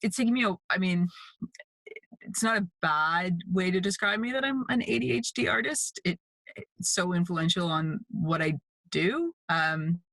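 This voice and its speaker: Bright-voiced Canadian woman, dry close sound. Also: on the low side, lively intonation, normal speed, dry recording, rough recording. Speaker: guest, mixed media artist. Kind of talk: casual conversational interview.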